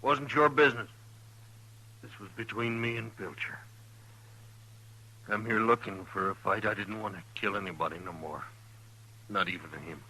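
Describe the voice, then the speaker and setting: A man playing a bitter, resigned, wounded gunman in an old radio western, with halting rustic speech and labored, husky voice. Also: lowish voice, pushed and bright, rough recording. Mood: bitter confession. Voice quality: husky, strained.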